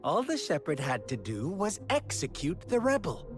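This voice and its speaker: A man voicing a taunting, playfully menacing video game villain with theatrical, teasing, drawn-out delivery over music. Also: on the high side, huge pitch swings. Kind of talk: dramatic video game voice acting.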